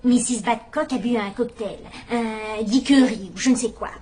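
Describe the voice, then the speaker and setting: An actress playing a shrewd, gentle elderly spinster detective in a dubbed drama, speaking in a wry, quietly probing way. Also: female voice, fairly high pitch, moderate pace, slight background noise, natural voice. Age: older. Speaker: amateur detective. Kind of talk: dubbed radio-style drama acting.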